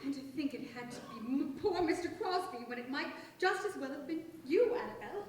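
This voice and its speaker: A woman's voice: high-pitched, expressive, a little fast. A woman playing a haughty, melodramatic chatterbox lady in a staged radio play, theatrical and indignant, recorded echoey and distant with background noise.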